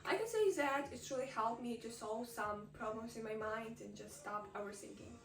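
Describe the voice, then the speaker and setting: A woman's voice: fairly high pitch, moderate pace, roomy sound, distant mic, soft background music. A young woman with a Ukrainian accent, chatting casually, hesitant and full of fillers. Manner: hesitant, filler-heavy, eager. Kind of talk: casual chat.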